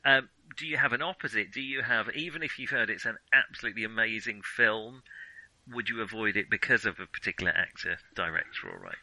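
A man's voice: on the low side, quick pace, sharp tone, no room sound, poor audio. A man co-hosting a podcast, chatting casually about films in a hesitant, circling way. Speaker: podcast co-host. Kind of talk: casual film discussion.

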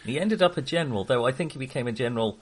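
Male film-show co-host, mid-range voice, conversational and unscripted, hesitant, halting delivery. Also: mid-range voice, lively intonation, slightly rough. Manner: hesitant, halting, trailing into half-finished thoughts. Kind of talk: casual film discussion.

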